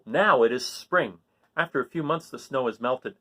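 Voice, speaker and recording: A man reading a children's picture book aloud, with dramatic, drawn-out emphasis on key words. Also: mid-range voice, animated, pushed and bright. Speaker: storybook reader reading aloud.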